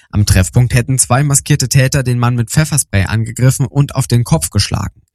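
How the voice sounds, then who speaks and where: A man presents regional radio news from a script. His voice sits at an average pitch for a man, and the sound is very dry and close. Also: a little mellow, no echo.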